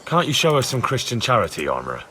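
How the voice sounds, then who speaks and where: A man's voice: medium pitch, huge pitch swings, fast talker, sharp tone. A young man voicing an eager, earnest trainee town guard in a medieval video game, speaking plainly. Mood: reasoning. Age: young adult. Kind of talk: dramatic game cutscene dialogue.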